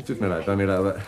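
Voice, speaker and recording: An actor in a radio drama playing a flustered party host who grows indignant on the phone. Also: male voice, low-pitched, a little mellow. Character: James Brent, rattled man hosting a party.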